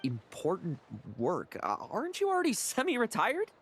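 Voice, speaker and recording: An actor playing an earnest, restless young knight recruit in a video game, formal and skeptical in manner. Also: male voice, sits high, huge pitch swings, moderate pace, a bit bright, a little hiss or hum, exaggerated voice.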